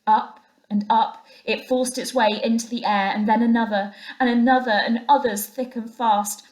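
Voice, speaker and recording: A woman narrating a children's classic aloud as a teacher would, in an expressive storytelling style. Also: fairly high pitch, normal speed, poor audio.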